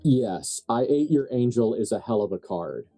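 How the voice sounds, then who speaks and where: A man's voice: mid-range voice, big pitch swings, moderate pace, warm tone, no room sound, soft background music. A man talking casually at a tabletop game as game master, informal and dryly wry.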